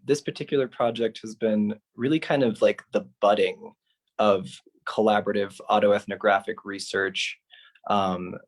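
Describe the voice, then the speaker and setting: Halting man with a lowish voice. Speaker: playwright, panelist. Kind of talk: unscripted reflection.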